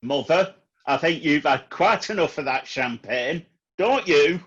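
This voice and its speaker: A man's voice: a little high, animated, measured pace, fairly bright tone, husky, muffled recording, full character voice. A man playing a blunt, scolding music manager and irritable son in a comedy radio play. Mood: exasperated.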